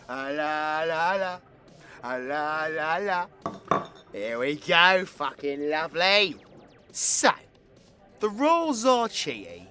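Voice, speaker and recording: A man's voice: medium pitch, fairly bright tone. A British man voicing a cocky, foul-mouthed supervillain in an audio roleplay, with a crude, theatrical and menacing manner. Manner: swaggering, crude, theatrical, dropping into menacing whispers. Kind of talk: ASMR audio roleplay acting.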